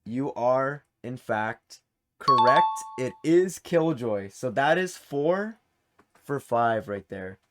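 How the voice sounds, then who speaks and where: A man's voice: medium pitch, expressive, slow. Man hosting a casual quiz. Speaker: quiz host. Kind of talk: casual game-show hosting.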